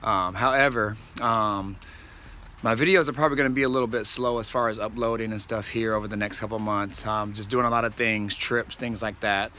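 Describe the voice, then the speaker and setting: Car vlogger, man, slightly raspy, laid-back rambling delivery, bright forceful tone, faint background noise. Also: on the low side. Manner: laid-back, rambling, many ums, says y'all. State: slightly raspy, losing his voice. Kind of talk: casual vlog chat to viewers.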